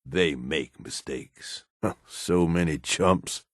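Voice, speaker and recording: A man's voice: extremely low pitch, extremely slow, no room sound, clean studio sound, exaggerated voice. An actor playing a cynical, foul-mouthed, hard-bitten raider henchman in a video game, talking in blunt tough-guy slang. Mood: sly, easygoing. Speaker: game companion character.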